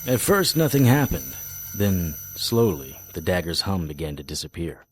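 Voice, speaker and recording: An actor playing a tough, wry occult investigator in a hardboiled radio drama, guarded and gruff in manner. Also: male voice, low voice, sing-song, normal speed, a little hiss or hum. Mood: wry, reflective. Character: tough, wry male occult investigator, narrating his own cases.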